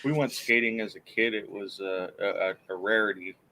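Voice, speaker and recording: A man talks casually on a podcast in an easygoing, rambling way and chuckles often. His voice sits low but sounds bright and forceful. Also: fairly even intonation, moderate pace, a little hiss or hum. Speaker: military veteran. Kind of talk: casual chat.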